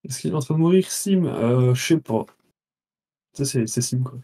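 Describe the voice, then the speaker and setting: A man doing casual live commentary on a flight simulator tournament, relaxed and teasing, often trailing off mid-sentence. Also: mid-range voice, moderate pace.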